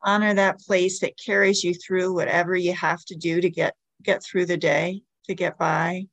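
A woman leading a guided meditation as a podcast host, giving soothing, gentle instructions with long pauses. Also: lowish voice, level tone, no echo.

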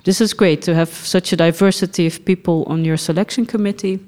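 A middle-aged woman from the Netherlands, a film festival director, speaking thoughtfully in a podcast interview with frequent pauses and soft laughs. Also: lowish voice, fairly even intonation.

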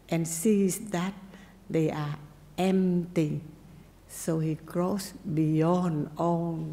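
A woman Buddhist nun, not a native English speaker, giving a gentle dharma talk with simple phrasing and pauses. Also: low-pitched, in a large hall. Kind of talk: dharma talk and meditation teaching.

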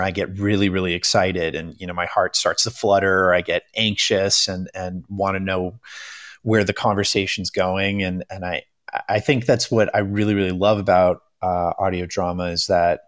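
A man's voice: low voice, a bit bright, no echo, clean studio sound. A man talking casually on a panel, hesitantly repeating words as he thinks. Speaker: panellist, voice actor.

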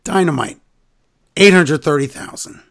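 A man hosting a casual wrestling podcast, hoarse and raspy from laryngitis, his voice sometimes dropping to a whisper. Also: fairly high pitch, measured pace, fairly bright tone. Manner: slips into whispers. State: hoarse from laryngitis, voice coming back. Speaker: podcast host.

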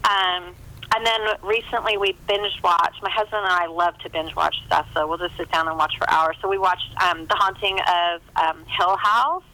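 Bright-voiced chatty American woman. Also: on the low side, fairly even intonation, moderate pace, rough recording. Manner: chatty, rambling, enthusiastic. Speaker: novelist being interviewed. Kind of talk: casual interview chat.

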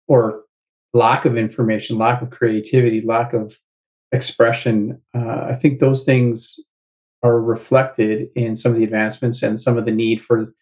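A man talking as an interview guest, measured and thoughtful, with frequent fillers. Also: mid-range voice, a little mellow. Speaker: guest author. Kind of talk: casual interview talk.

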